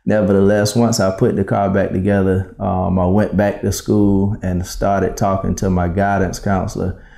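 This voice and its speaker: Deep, flat-voiced man. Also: vocal fry. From South Carolina. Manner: measured, polite, with frequent ums. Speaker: business owner guest. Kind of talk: relaxed interview storytelling.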